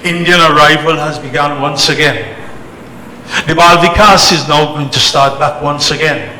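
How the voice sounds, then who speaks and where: A man from Trinidad who spent his early life in England, giving an emphatic, deliberate public speech to a community gathering in short, repeated phrases. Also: on the high side, bright, forceful tone. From born in Trinidad, spent early life in England. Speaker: religious organisation leader giving a speech. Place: temple celebration, amplified to an audience. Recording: echoey hall PA, noisy background.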